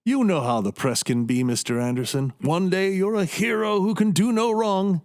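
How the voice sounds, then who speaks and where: An actor playing a renowned, modest neurosurgeon in a radio play, genial and conversational. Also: male voice, sits high, normal speed, a little mellow. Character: renowned, self-deprecating male neurosurgeon and inventor.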